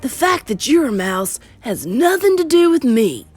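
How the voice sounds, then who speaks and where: A woman voicing a loudmouthed, greedy young boy turned mouse, blustering and petulant, in a storybook reading. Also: high-pitched, sing-song, measured pace, slightly rough, no echo, soft background music, voice pushed up, heavily acted. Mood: indignant.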